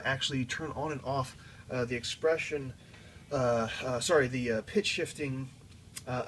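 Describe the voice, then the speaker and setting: A man giving a casual, off-the-cuff pedal demo as a guitar teacher, explaining informally and a little haltingly. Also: a little high, low-quality recording. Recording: guitar playing loudly alongside the voice.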